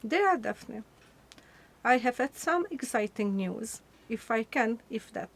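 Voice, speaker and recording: A woman's voice: on the high side, animated, exaggerated voice. A woman playing an eager, proud young woman reading her wartime letter to her sister in a radio play. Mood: guarded excitement. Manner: earnest, deliberate.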